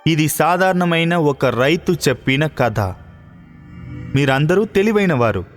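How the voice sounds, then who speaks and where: A man's voice: on the high side, animated, unhurried. An actor playing a proud, commanding king in a children's cartoon fairy tale, speaking with authority. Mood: stern. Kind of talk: children's cartoon story dialogue. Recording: long hall reverb, background music.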